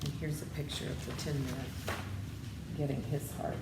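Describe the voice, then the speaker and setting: A woman's voice: rumbling low, flat delivery, normal speed. A woman reading a children's story aloud, with friendly asides to her listeners. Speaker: storybook reader. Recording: roomy echo with background noise.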